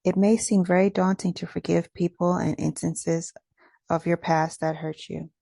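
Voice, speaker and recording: A woman leading a guided meditation in a gentle, measured, instructional way. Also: on the low side, steady tone, gravelly, no room sound.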